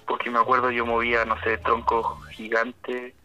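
A man's voice: medium pitch, monotone, normal speed, bright, forceful tone, dull audio. A man with a Chilean accent, co-hosting a casual chat and telling stories in an easygoing, slangy way.

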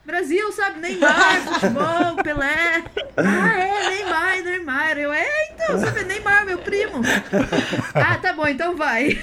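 A Brazilian woman with a Brazilian accent, telling a lively travel anecdote in casual podcast chat and acting out the dialogue. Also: very high-pitched, takes it slowly, over a noisy crowd. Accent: Brazilian Portuguese. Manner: lively animated storyteller, acts out dialogue.

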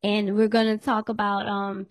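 A woman hosting a podcast in a casual chat, losing her voice and hoarse, teasing and laughing often. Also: medium pitch, level tone, normal speed, no echo, studio quality. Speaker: podcast host.

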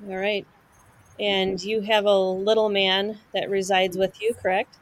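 A woman's voice: medium pitch, flat delivery, unhurried, a little hiss or hum. Chatty woman hosting a live show. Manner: chatty, friendly interviewer. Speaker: show host. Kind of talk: casual live-stream chat.

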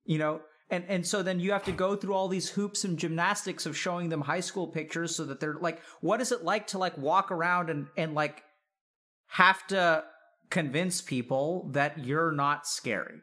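Reflective, probing man with a slightly higher voice. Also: moderate pace, pushed and bright. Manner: reflective, probing, builds points step by step with questions. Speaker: interviewer and counselor. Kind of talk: conversational counseling interview.

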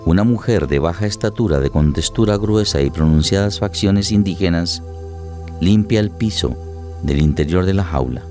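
A man's voice: low-pitched, expressive, normal speed, mellow, soft background music. A man narrating a scripted radio drama in a dramatic storytelling style.

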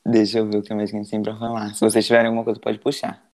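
A man from Brazil hosting a relaxed podcast chat. He strings his stories together with frequent fillers and asides. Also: fairly low pitch, very fast. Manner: storytelling, many fillers like "tipo" and "assim". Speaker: podcast host. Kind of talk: casual chat. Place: living in Portugal.

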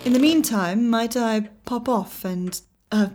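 An actress plays a British woman spy in an audio drama. Her voice sits on the high side. She is brisk and impeccably formal, with genteel manners that carry clear condescension. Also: darkish tone, a little hiss or hum, lightly acted. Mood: prim politeness.

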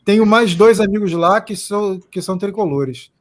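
Brazilian guy hosting a live stream, high voice, chatty, reads comments out. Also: moderate pace. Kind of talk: casual live chat.

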